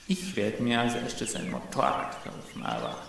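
A gruff, greedy crook played by a man in a children's audio drama. His voice has a gravelly creak and rings with heavy echo, set back from the microphone. He sounds like an irritable smuggler. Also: medium pitch, very animated, normal speed, a bit bright, exaggerated voice. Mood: confident. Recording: echoey, distant, faint noise.

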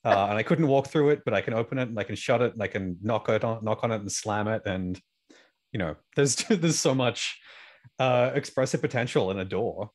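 A man's voice: fairly low pitch, brisk. A young man chatting casually as a panel guest, recorded on a close podcast mic in a room. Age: young adult. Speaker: panel guest, sound effects performer. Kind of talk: casual chat. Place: indoor room, video call. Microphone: close boom-arm podcast mic.

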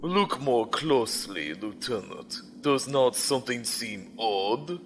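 Sci-fi audio drama: ruthless imperial commander played by a man, formal, controlled menace, mid-pitched, faint noise behind. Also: lively intonation, takes it slowly, fairly bright tone. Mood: smooth, pointed. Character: calculating, ruthless male station commander in a space empire. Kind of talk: science fiction audio drama acting.

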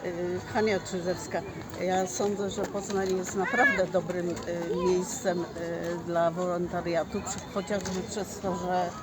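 A woman giving a spontaneous, halting and plain-spoken interview answer. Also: fairly low pitch, flat delivery. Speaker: hospital volunteer. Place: outdoor volunteering fair in a park. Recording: loud outdoor fair crowd noise.

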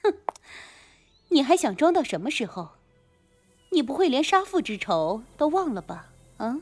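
女声演绎冷酷算计的女背叛者，高音. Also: female voice, high-pitched, sing-song, moderate pace, soft background music. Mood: taunting. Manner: taunting, coolly condescending. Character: cold, scheming female gang insider turned betrayer. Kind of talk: Chinese radio drama acting.

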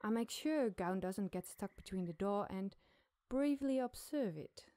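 A woman telling a scary campfire story in a suspenseful, deliberate, dramatic narration. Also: medium pitch, lively intonation, warm tone. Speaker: podcast storyteller.

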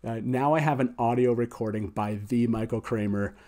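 A man's voice: medium pitch, moderate pace, warm tone. An enthusiastic, effusive man in his forties hosting a casual livestream chat, speaking into a close studio mic. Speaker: show host. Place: small wood-panelled studio indoors. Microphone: close studio mic on boom arm.

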